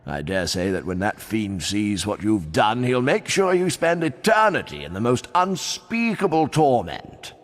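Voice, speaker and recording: A man's voice: fairly low pitch, very animated, unhurried, hardly any pauses. An actor playing a jovial, blustering old-fashioned king with a plummy, pompous upper-class British accent in a video game. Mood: hearty, rousing. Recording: long echoing hall reverb.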